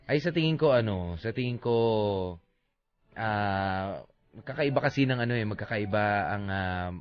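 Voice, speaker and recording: A man with a Filipino accent co-hosting a radio show, casually reading listener messages and giving advice in a halting, conversational way. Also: lowish voice, lively intonation, dull audio. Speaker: radio DJ co-host.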